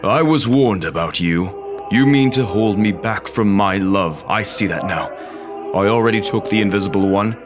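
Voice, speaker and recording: An actor playing a menacing, lovesick phantom of the opera in a radio drama, brooding and theatrical. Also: male voice, fairly low pitch, normal speed, over music, lightly acted. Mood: menacing, bitter. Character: obsessive, menacing opera phantom, possessive man in love.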